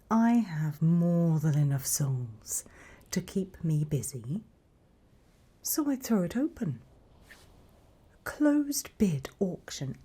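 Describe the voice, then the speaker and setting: A sly British demoness, acted by a woman, speaks in a very breathy, husky murmur that often turns to whisper. Her voice is low and soft-edged. She is unhurried, teasing and condescending, in the style of an ASMR roleplay. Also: full character voice. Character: sly, mocking female demon who trades in purchased souls.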